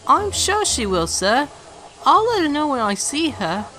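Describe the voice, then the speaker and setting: A woman's voice: high-pitched, big pitch swings, measured pace, sharp tone, full character voice. A woman voicing an eager, polite young male tank engine in a children's audio drama, cheerful and earnest.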